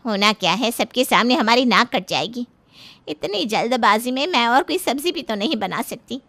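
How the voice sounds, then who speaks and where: A woman's voice: fairly high pitch, lively intonation, moderate pace, sharp tone, pitched above the performer's own voice, full character voice. A woman voicing a middle-aged mother in a cartoon story, a flustered and scolding hostess. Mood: scolding, worried.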